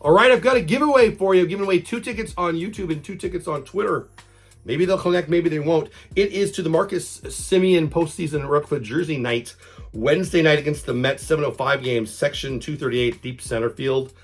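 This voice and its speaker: A man with a hoarse voice from a cold, hosting a casual sports fan vlog and talking chatty and repetitive. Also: on the high side, a little fast, faint music behind. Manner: chatty, repeats himself, fan talk. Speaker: channel host.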